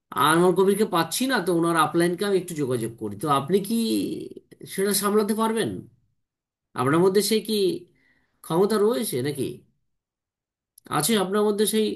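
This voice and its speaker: Man hosting an online team briefing. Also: high-pitched. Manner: repetitive, with frequent tag questions and English words mixed in. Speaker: host of an online team meeting.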